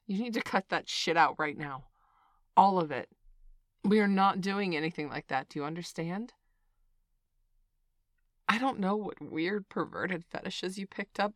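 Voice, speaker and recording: A woman plays a middle-aged parent with a fairly low voice who speaks slowly and deliberately. The parent swings between scolding and soothing, and the recording is close and dry. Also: a bit bright, dry recording. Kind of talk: scripted audio roleplay.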